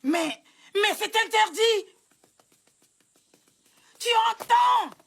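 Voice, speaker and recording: A performer voicing a grumpy, self-important village grown-up in an animated film, indignant and forever scolding. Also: very high-pitched, very slow, creaky, exaggerated voice.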